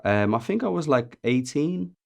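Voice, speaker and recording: A man in his late twenties, originally from Azerbaijan, chatting casually as a podcast guest, laid-back and laughing often, with many pauses and ums. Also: mid-range voice, animated, vocal fry, darkish tone. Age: 20s. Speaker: podcast guest, music producer. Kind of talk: casual interview chat.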